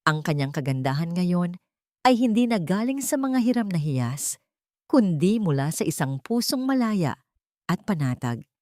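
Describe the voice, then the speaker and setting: A woman with a Filipino accent narrates a dramatic audiobook. Her voice sits on the low side, and the recording is dry and close, with little room sound. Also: big pitch swings, a little slow, darkish tone, dry recording. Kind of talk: scripted dramatic story narration.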